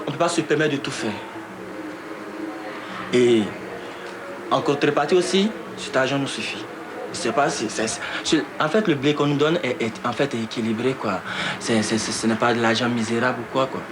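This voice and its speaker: A young man from Ivory Coast with an Ivorian accent, a youth footballer speaking in a candid interview, halting and repeating words. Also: medium pitch. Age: young adult. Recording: echoey, noisy location sound.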